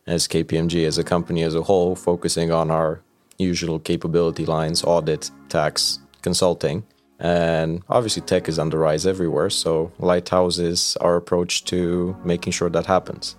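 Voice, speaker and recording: A young man from Romania, a software engineer, explaining his work in a relaxed, conversational way with a few fillers. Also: low voice. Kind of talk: recruitment video interview. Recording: soft music bed behind.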